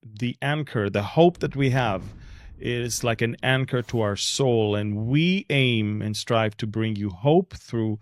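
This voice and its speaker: A man's voice: medium pitch, slow, dry recording, clean studio sound. A man with an Israeli accent hosting a podcast and interviewing a guest in a conversational style.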